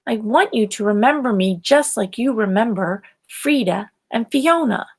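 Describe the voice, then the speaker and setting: A woman's voice: fairly high pitch, lively intonation, moderate pace, slightly rough, pitched above the performer's own voice. A woman voicing an eager, proud young schoolgirl who loves science, in a children's storybook read-aloud. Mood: earnest.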